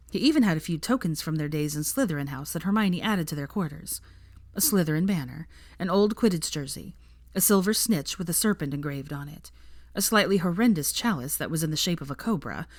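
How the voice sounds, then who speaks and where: A woman's voice: sits low, no room sound, clean studio sound. A woman narrating a story from a script in an audiobook style. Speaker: audiobook-style fan fiction reader.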